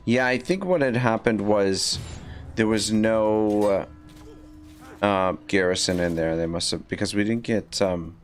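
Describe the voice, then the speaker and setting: A man's voice: on the low side, a little slow, faint music behind. A man with a scratchy voice giving rambling, casual commentary while livestreaming a strategy game, with many fillers and restarts. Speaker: livestream gamer.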